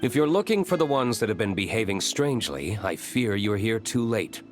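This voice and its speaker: An actor playing a composed, cryptic male stranger in a video game, speaking in a formal, measured manner. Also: fairly low pitch, big pitch swings. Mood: cool, knowing. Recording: game music underneath.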